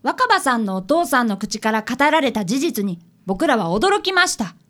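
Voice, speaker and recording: Woman voicing an earnest high-pitched little boy detective. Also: sing-song, moderate pace, fairly bright tone. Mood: bright narrating. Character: polite, earnest fifth-grade boy, apprentice detective and narrator. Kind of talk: radio drama narration and dialogue.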